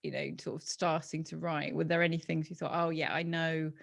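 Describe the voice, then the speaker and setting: Female host, gravelly creak in a lower register, casual and encouraging in interview. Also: level tone, brisk. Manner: encouraging, loosely phrased questions.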